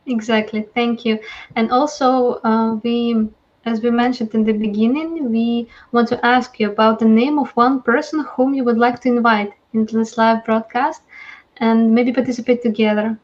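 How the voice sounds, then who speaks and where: A woman's voice: fairly high pitch, fairly even intonation, normal speed. A young woman co-hosting an interview on a video call, asking polite questions while searching for words. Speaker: co-host. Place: home office. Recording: dull lo-fi video call audio.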